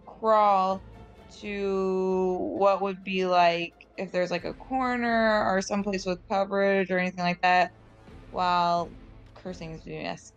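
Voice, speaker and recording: A woman with a mid-range voice talks casually as a player in a roleplaying game. There is soft music behind her. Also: mid-range voice, fairly even intonation, measured pace, clear, resonant tone, highs cut off. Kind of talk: casual, joking game chat.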